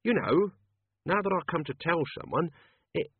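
Guy doing a jittery old servant, higher and husky, tinny sound. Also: lively intonation, moderate pace, no room sound. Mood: fretful. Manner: nervous, pleading, says Master often. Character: old male manservant, fretful and wheedling. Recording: tinny lo-fi audio.

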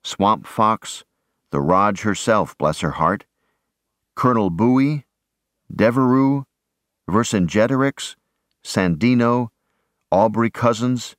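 Slow, low-voiced male narrator. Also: a bit bright, dry recording, clean studio sound. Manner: measured, reflective. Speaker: audiobook narrator. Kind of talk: scripted first-person narration.

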